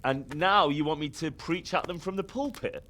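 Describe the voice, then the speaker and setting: A man's voice: a little high, moderate pace, bright, forceful tone, slight background noise. An actor playing a sceptical, hungover young man in a video game, exasperated and sarcastic. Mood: incredulous. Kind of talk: video game cutscene acting.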